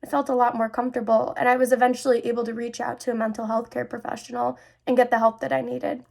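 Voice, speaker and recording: A woman's voice: fairly high pitch, flat delivery, fast, creaky, a little mellow. A young adult woman speaking earnestly and confidingly, as a host sharing personal experiences. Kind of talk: personal talk to camera about mental health.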